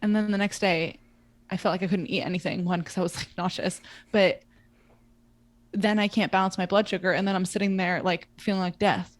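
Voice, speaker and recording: A woman's voice: mid-range voice, steady tone, brisk, no room sound. A woman in her twenties co-hosting a podcast, chatting casually with frequent laughs and fillers. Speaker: podcast co-host. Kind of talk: casual chat.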